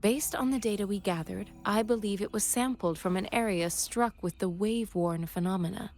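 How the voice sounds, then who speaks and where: A woman's voice: medium pitch, normal speed, darkish tone, faint music behind, natural voice. A woman voicing a composed, precise researcher in a video game, speaking formally and methodically. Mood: composed, informative. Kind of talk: video game character dialogue.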